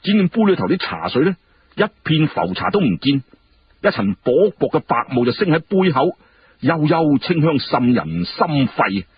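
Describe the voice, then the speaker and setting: A man telling a historical story in an animated, colloquial style. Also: a little high, moderate pace, highs cut off. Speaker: storyteller narrating historical fiction.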